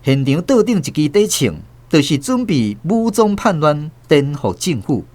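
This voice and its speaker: Stern agent, wildly animated. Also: male voice, a little high, normal speed. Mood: triumphant, officious. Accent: Taiwanese. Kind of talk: radio drama acting.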